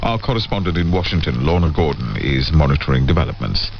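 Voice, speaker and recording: A man's voice: a bass voice, expressive, quick pace. A man presenting scripted radio news as the programme's newsreader, introducing reports. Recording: muffled, lightly noisy shortwave radio relay.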